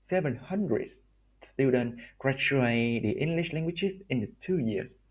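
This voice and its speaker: A Vietnamese-accented man walks through his slides as a language teacher. His English is halting and broken, and he often restarts phrases. His voice sits at an ordinary middle pitch for a man. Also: lively intonation. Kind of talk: recorded slide presentation.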